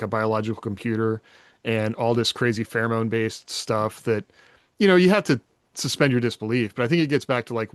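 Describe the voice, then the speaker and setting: A man talks in a casual, musing way, as if working ideas out while he speaks. His pitch sits in the middle for a man. The sound is dry, with next to no room on it. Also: no echo. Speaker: podcast co-host. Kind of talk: casual chat about science fiction.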